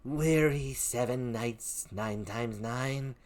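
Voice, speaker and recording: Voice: medium pitch, takes it slowly, darkish tone, natural voice. A reader voicing the weird sister witches, chanting spells with sing-song swings and sudden whispers. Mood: eerie chanting.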